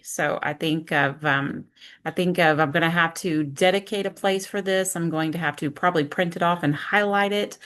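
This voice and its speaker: A woman's voice: fairly low pitch, a bit bright. A woman teacher giving an informal screen-share walkthrough, thinking aloud step by step in a conversational way. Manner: conversational, explains step by step with self-questions and check-ins.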